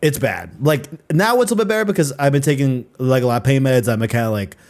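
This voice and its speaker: A man's voice: medium pitch, animated, quick pace. A man hosting a casual podcast chat, laid-back and slangy, often trailing off and repeating phrases. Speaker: podcast host.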